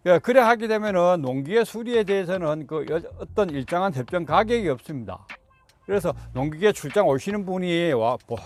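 Higher-voiced middle-aged man. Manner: polite, rambling, colloquial phrasing. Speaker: video host giving farming tips. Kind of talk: informal explanatory monologue for viewers.

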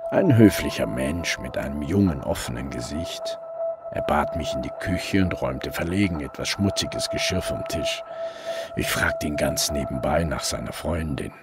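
A man playing a calm, probing police detective who narrates his case in a crime radio play, measured and matter-of-fact. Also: very deep voice, over loud music. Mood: measured narration.

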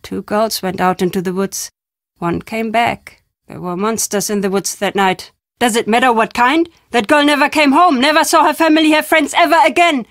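A woman playing an enigmatic, foreboding traveling storyteller in an adventure game, stern and deliberate in her phrasing. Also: fairly high pitch, a bit bright, dry recording, clean studio sound. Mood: grave, insistent. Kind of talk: adventure game character acting.

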